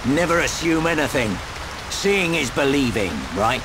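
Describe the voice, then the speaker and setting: A man playing a gruff, worldly older veteran adventurer in a video game cutscene. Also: a little high, animated, unhurried, background noise. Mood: wry, knowing.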